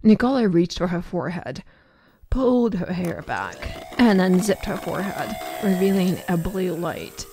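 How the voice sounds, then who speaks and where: A woman's voice: fairly low pitch, animated, normal speed, noisy background. A woman reading scripted narration for an audio drama.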